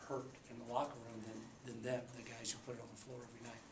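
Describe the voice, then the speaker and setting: A man in his forties, a basketball coach, speaking at a postgame press conference in a subdued, halting way with many fillers. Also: mid-range voice, level tone. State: subdued after a loss. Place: small press room indoors. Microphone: table mic. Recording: echoey room, faint background hum.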